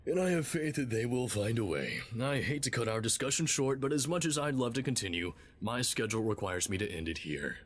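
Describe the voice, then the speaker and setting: An actor playing a smooth, courteous but condescending company executive in an audio drama, with a suave, patronizing, unhurried manner. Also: male voice, medium pitch, big pitch swings, natural voice. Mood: composed, courteous. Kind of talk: scripted audio drama acting. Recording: clearly noisy background ambience.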